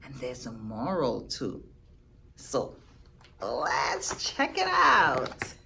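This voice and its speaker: Animated, unhurried woman reading a storybook. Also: very deep voice, gravelly, slight background noise. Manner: expressive, teacherly, with dramatic pauses and emphasis. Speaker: storyteller reading a picture book to children. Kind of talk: read-aloud storytime with questions to young listeners.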